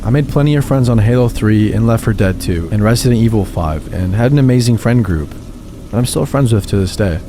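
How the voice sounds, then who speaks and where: A man narrating first-person gaming horror stories in a steady, measured reading. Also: on the low side, noisy background. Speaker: channel host reading submitted stories. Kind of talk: scripted horror story narration.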